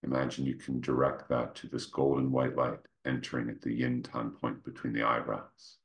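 A man leading a guided meditation, speaking gently and without hurry, in short phrases with pauses between them. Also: flat delivery. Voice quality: very deep, creaky. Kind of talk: guided meditation, slow soothing instruction.

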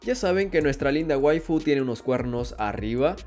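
A man narrating a step-by-step drawing tutorial in a calm, instructional voice-over. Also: medium pitch, moderate pace, soft background music. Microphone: voice-over over hands-on drawing footage.

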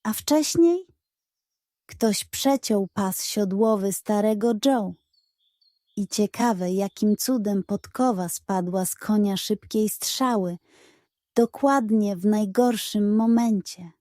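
A woman voicing a stern, upright male horse sheriff in a children's Western story, grave and measured. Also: medium pitch, warm tone, no room sound, clean studio sound, close to an everyday voice. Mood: accusing.

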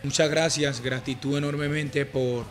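Earnest, flat-voiced Colombian man. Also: mid-range voice, a little slow, soft background music. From Urabá, Colombia. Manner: earnest, calm, many small restarts and fillers. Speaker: interviewed footballer. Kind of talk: reflective interview answers. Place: living room, on a sofa indoors. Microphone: handheld mic held at chest.

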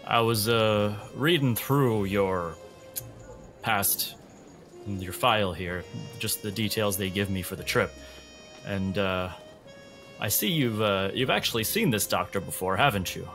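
A man's voice: lowish voice, unhurried, a bit bright, faint music behind. A man playing a detached, bureaucratic escort in a tabletop roleplay, speaking hesitantly and matter-of-factly. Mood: casual, probing. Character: detached, bureaucratic male escort handling a patient transfer.

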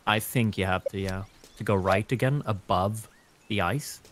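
A man's voice: on the low side, moderate pace. A young man playing a co-op game with a friend from his home room on a studio mic, teasing and giggling as he talks. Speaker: streamer, co-op guest. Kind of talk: casual gaming banter. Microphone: studio mic in front of him. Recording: home mic with clear background noise.

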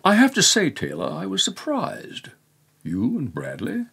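A husky older man's voice with very animated pitch, arch and disapproving. a sardonic snob in a radio soap. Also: a little slow, exaggerated voice. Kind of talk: radio drama acting. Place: radio recording studio, standing microphone.

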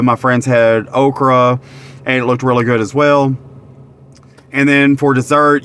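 A man's voice: mid-range voice, fairly bright tone, slight background noise. A man, reviewing a meal to camera from inside a parked car in a rambling, easygoing way with lots of fillers. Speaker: vlogger, restaurant reviewer. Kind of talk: casual review talk to camera. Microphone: phone camera at arm's length.

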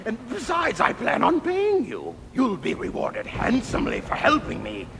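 A pompous mad scientist blusters in a very gravelly, strained-high voice. He schemes theatrically like a cartoon game villain, his words ringing through a hall. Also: wildly swooping pitch, normal speed, a bit bright, heavily acted. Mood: wheedling. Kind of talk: video game cutscene acting. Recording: echoing hall with background noise.

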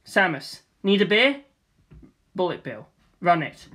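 A man reading a comedic screenplay aloud in a flat, deadpan manner. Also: high voice, slow pace. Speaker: reader of a script.

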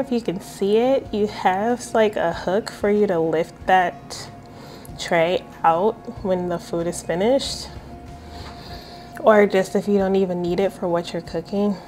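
A young woman vlogging casually from her home kitchen, chatty and self-deprecating, getting over a cold. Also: medium pitch. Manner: relaxed, chatty, self-deprecating, many fillers. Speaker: vlogger reviewing an air fryer. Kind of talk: casual cooking vlog.

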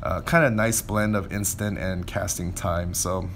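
A man's voice: low voice, fairly even intonation, measured pace, vocal fry, fairly bright tone, a little hiss or hum. A man giving casual gameplay commentary, informally thinking aloud as he plays. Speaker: gameplay video creator.

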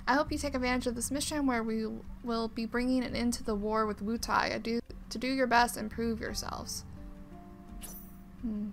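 A woman's voice: a little high, fairly even intonation, moderate pace. A woman giving casual let's play commentary and reading game text aloud. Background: game music.

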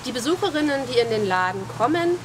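A woman's voice: high voice, sing-song, quick pace, noisy background. Assured woman director outdoors. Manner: fluent, assured. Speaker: managing director of a community centre. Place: outdoors in a garden. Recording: outdoor ambience clearly audible.

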